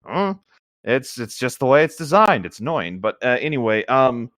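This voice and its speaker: A man's voice: medium pitch, big pitch swings, normal speed, a bit bright, dry recording, studio quality. A man co-hosting a casual reading of a story, adding chatty asides as he goes. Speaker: co-host reading a story aloud with commentary.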